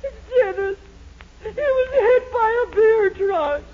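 Shrill, bossy radio sitcom wife. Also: female voice, slow, a crowd in the background. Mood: weepy, remorseful. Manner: domineering, scolding, theatrical. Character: bossy, shrill, melodramatic middle-aged wife and mother. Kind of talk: 1940s radio sitcom acting. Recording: noisy, muffled 1940s radio recording.

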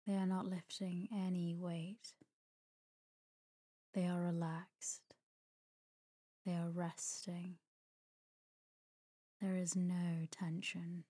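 A woman leading a guided sleep meditation in a soft, breathy voice, calm and gentle, with long pauses between short phrases. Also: fairly low pitch. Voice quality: soft, breathy, near-whisper at times. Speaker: meditation guide, podcast host. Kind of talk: guided sleep meditation, slow scripted narration.

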